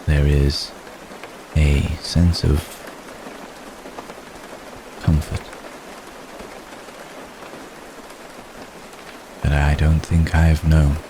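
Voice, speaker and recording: An age-old vampire gentleman, played by a man, murmurs in an extremely deep voice over clearly audible rain. His delivery is flat and measured, with formal phrasing and long, gentle pauses. He sounds protective and world-weary, in the manner of an intimate romantic ASMR roleplay. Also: full character voice. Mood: tender, confessional. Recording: steady rain noise behind voice. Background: rain falling.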